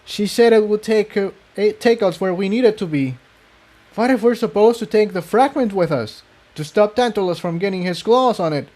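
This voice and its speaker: A man's voice: sits high, normal speed, a little mellow, pitched above the performer's own voice, lightly acted. A man voicing a determined male unicorn pony in a storybook reading, earnest and urgent.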